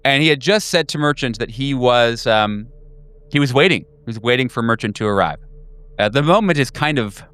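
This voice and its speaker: A man's voice: mid-range voice, animated, normal speed, a bit bright, smooth. A man narrating a tabletop game as game master in a loose, conversational, descriptive way. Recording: music under the voice.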